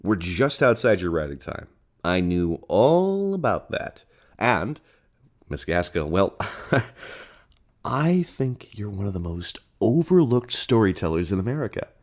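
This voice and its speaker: A man's voice: on the low side, very animated, a little slow. An actor playing an earnest, polite, hesitant male literary interviewer in an audio drama.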